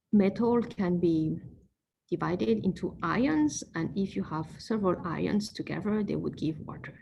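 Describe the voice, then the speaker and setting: A woman's voice: medium pitch, creaky, dark tone, dull audio, a little hiss or hum. A woman acupuncturist giving an informal online talk, explaining patiently with frequent fillers and self-corrections. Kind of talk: informal lecture with slides.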